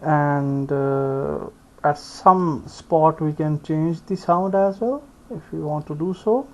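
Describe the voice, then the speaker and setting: A man giving an unscripted step-by-step software tutorial, speaking haltingly and thinking aloud as he works. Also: fairly high pitch, slight background noise. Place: indoors, screen-recording voice-over. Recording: dull lo-fi voice recording.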